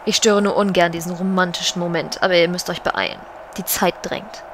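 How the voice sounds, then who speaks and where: A woman's voice: on the low side, fairly even intonation, a little fast, fairly bright tone, a little hiss or hum. A woman playing a regal, solemn, commanding undead banshee queen in an audio drama, speaking in a stately, formal way. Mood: urgent, conspiratorial. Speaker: voice actor in a parody audio drama.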